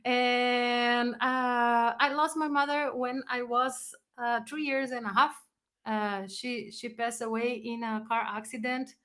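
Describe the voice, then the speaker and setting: A Brazilian woman, a native Portuguese speaker, telling personal stories in non-native English in an expressive, casual interview manner. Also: on the high side, takes it slowly. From Brazil, raised partly in Chile. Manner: expressive, animated storyteller who acts out moments in non-native English. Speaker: musician and music teacher being interviewed.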